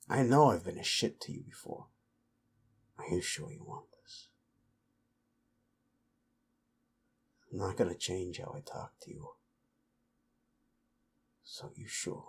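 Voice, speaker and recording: A man acting a gruff, cocky but protective werewolf in an intimate audio roleplay, with a gravelly, breathy murmur and blunt, coarse, halting speech. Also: deep voice, background noise, exaggerated voice. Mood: hushed, serious.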